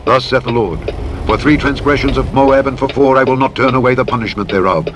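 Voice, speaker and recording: A man narrating the Bible in a solemn, dramatic, measured and declamatory style. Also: mid-range voice. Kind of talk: solemn dramatic scripture reading. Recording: engine and vehicle noise over playback. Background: truck engine and road noise from a driving game.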